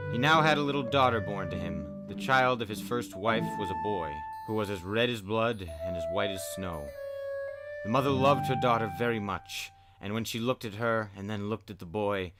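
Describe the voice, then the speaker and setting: A man hosting a spooky story podcast, narrating in a dramatic storyteller's style. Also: fairly low pitch. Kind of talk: dramatic fairy-tale narration with casual commentary. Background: music.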